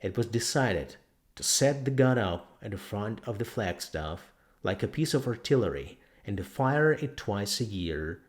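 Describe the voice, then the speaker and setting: A man's voice: fairly low pitch, lively intonation, measured pace. A man reading a novel aloud as scripted dramatic narration. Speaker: audiobook narrator.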